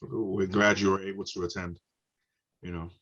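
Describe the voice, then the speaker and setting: A man with a low voice and a Ghanaian accent moderates a panel informally. His delivery is halting and casual, with an apologetic touch, and the call audio is slightly dull. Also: flat delivery, a bit bright. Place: online video panel.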